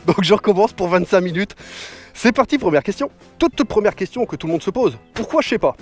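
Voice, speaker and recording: High-voiced chatty biker man. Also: lively intonation, brisk, faint music behind. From northern France. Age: 30s. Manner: joking, chatty, with slang. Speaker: motorcycle vlogger. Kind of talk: casual Q&A vlog. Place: outdoors beside his motorbike. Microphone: action camera mic.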